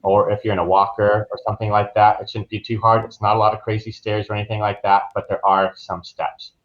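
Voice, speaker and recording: Low-voiced American man, dull lo-fi sound. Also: level tone, fast. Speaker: travel agent guest. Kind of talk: conversational podcast interview. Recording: dull, lo-fi online call audio.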